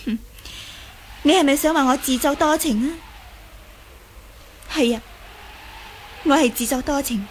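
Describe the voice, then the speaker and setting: A woman's voice: sits high, a little slow, pauses often. An actress playing a bitter, defiant young martial arts woman in a Cantonese radio drama. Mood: defiant, vengeful. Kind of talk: Cantonese audio drama acting. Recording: faint background noise.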